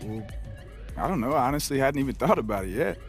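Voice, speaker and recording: A man's voice: mid-range voice, expressive, fast talker, close to an everyday voice. Male actor as modest young American college athlete, mid-range, conversational game dialogue, light music underneath. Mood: unsure. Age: young adult. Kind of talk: casual conversational game cutscene acting.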